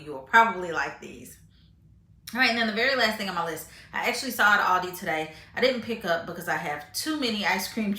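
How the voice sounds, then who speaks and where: A woman's voice: lowish voice, sharp tone, reverberant. A woman vlogging casually about grocery finds, chatty with fillers, tasting food as she talks. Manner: chatty, frequent fillers and restarts; eats while talking, tasting food on camera. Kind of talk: casual chat to camera.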